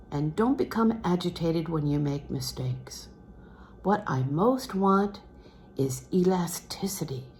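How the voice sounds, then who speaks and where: Audiobook role: assured, gentlemanly male music master, genteel and animated, read by a woman in a lowish voice. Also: a little hiss or hum. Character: courteous, worldly, assured male concert singer and teacher. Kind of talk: storybook character reading from a literary novel.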